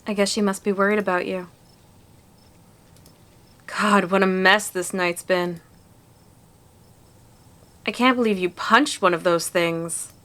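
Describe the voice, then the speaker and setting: A plucky young woman played by a female voice actor in a visual novel dub. Her voice sits at a middle pitch, and she talks in an informal, matter-of-fact way. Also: fairly even intonation, slow pace, long pauses, pushed and bright. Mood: weary, amazed. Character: plucky, casual young woman amateur cryptid hunter.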